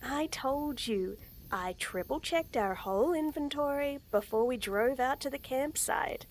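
A young woman in a scripted roleplay audio, talking to the listener with nervous stammers, frequent giggles and soft whispers. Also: high voice, unhurried, slight background noise. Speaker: voice actress in a girlfriend audio.

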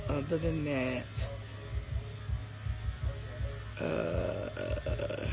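Hoarse woman chatting slowly on a phone stream. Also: a bass voice, huge pitch swings, music in the background, rough recording. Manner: rambling, chatty, greets viewers by name. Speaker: livestream host. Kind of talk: casual live-stream chat. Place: living room at home, indoors. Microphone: phone at arm's length.

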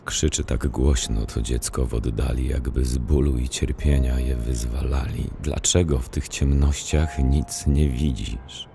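An actor in an audio play reciting verse as an anguished psychiatric patient, brooding and deliberate, pleading with his absent mother. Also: very deep voice, fairly even intonation, gravelly, background noise, full character voice. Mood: haunted pleading.

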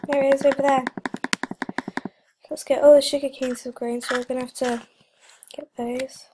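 Teenage girl, casual gameplay chatter, slightly high-pitched, stuffy from a cold, rambling, whispery asides, noisy computer mic. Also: level tone, moderate pace. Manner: rambling, many fillers, drops to whispers. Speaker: gaming channel host. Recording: noisy home recording with clicks.

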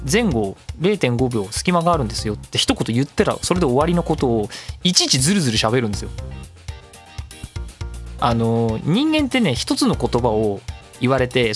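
A man presents a casual web radio show on his own at a quick pace. His pitch swings around in a very animated way as he explains things emphatically, in a chatty style. Music plays behind his voice. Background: background music.

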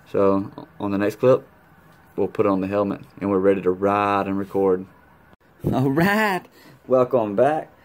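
Low-voiced man giving a casual gear demo. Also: a little mellow, lo-fi sound. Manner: colloquial, playful sound-effect interjections. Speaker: motorcycle vlogger demonstrating gear. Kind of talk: casual hands-on tutorial chat.

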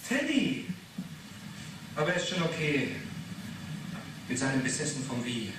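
A man's voice: mid-range voice, big pitch swings, a little slow. An actor in a 1980s radio play playing a defensive, irritable man under questioning, evasive and explanatory. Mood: defensive, earnest. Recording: very echoey, noisy, distant radio drama.

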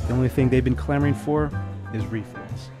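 A man's voice: mid-range voice, a little fast, close to an everyday voice. Radio drama barman, played by a man: plainspoken, streetwise, kindhearted, mid-range voice over guitar. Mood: wry, friendly. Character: blunt, kindhearted 1950s nightclub bartender.

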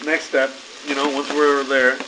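A man's voice: medium pitch, monotone, a little slow, pushed and bright, poor audio. A man in his thirties from Cuba chats casually and ramblingly as he hosts a home cooking vlog. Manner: easygoing, rambling. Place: home kitchen, indoors. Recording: noticeable background noise in a kitchen.

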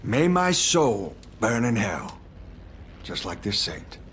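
Middle-aged crime boss, ceremonial, measured, commanding, dynamic intonation, video game, faint background noise. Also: male voice, on the low side, very animated, fairly bright tone, exaggerated voice. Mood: solemn, menacing. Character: solemn, commanding middle-aged mafia don. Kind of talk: game cutscene acting.

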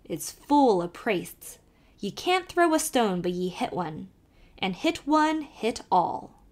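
A woman's voice: fairly high pitch, sing-song, a little slow, voice pushed up. A woman voicing a jolly old male peddler with a thick brogue, sly and teasing, in a storybook reading.

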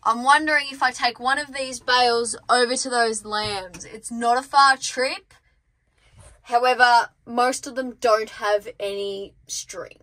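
A woman's voice: fairly high pitch, a little slow, sharp tone, rough recording. A young Australian woman in her twenties chatting casually to a phone camera about farm work, wry and blunt, swearing freely. She films in her car, on a tractor and out in the paddocks. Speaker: farm vlogger. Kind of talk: casual vlog chat. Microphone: phone camera held at arm's length.

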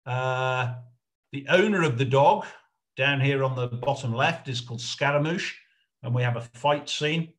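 A middle-aged man giving an informal illustrated history lecture over a video call, explaining in a halting, hesitant way. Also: mid-range voice, a bit bright. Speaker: lecturer, researcher presenting a talk.

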